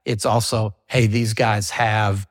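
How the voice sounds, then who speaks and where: A man's voice: lowish voice, little pitch movement, slow, no echo, clean studio sound. A middle-aged man, a company CEO, talking through his business in a casual interview. He is chatty, leans on "you know" fillers, and acts out what his clients say. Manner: quotes clients in an acted voice.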